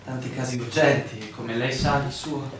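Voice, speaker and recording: A man playing a measured, consoling village official who speaks softly in a radio drama. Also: mid-range voice, fairly even intonation, close to an everyday voice. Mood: quietly consoling. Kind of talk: theatrical radio drama acting. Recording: very echoey, distant, noisy room recording.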